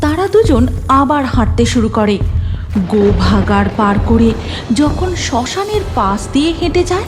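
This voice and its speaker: A woman storyteller narrates a scripted cartoon tale. Her voice is somewhat high with lively intonation, over clearly heard music.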